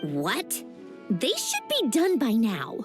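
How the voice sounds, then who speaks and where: A woman's voice: a little high, wildly swooping pitch, slow, music in the background, exaggerated voice. A woman playing a proud, aloof young woman in a video game, skeptical and dismissive. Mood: indignant. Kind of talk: English video game dub acting.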